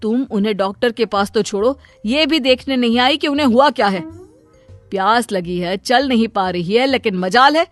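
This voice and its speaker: A woman voicing an exasperated, practical middle-aged father who scolds briskly in a Hindi cartoon moral story. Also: fairly high pitch, no echo, soft background music, lightly acted. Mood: scolding.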